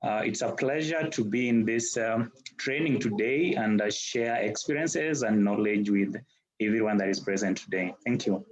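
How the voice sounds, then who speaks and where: A man's voice: fairly low pitch, normal speed, low-quality recording. A man gives a polite, semi-formal introduction of himself as a project manager on an online seminar.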